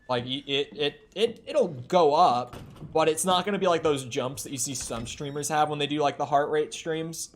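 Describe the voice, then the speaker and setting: A man's voice: a little high, normal speed. A young man talks loosely into his desk mic at home while he plays a horror game. He chats in a rambling, self-deprecating way and often restarts his sentences, and a faint hiss sits under his voice. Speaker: game streamer. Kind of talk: casual chat while playing a horror game. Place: home room. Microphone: streaming desk mic.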